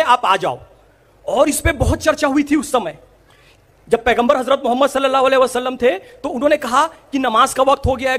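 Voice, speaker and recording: A man's voice: extremely high pitch, fairly bright tone. A man delivers an impassioned public address. He speaks emphatically, repeating key phrases and putting rhetorical questions to his listeners. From India.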